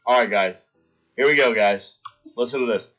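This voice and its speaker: A man's voice: medium pitch, moderate pace, highs cut off, soft background music. Young man rambling through a story. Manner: rambling anecdote, talks to chat, shouts out followers. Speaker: livestream host. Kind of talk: casual storytelling on a livestream.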